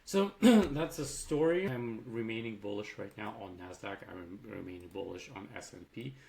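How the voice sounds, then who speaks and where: A man giving a casual market rundown over charts, loose and chatty, his voice a little raspy from long talking. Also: lowish voice, normal speed, darkish tone. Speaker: market commentator.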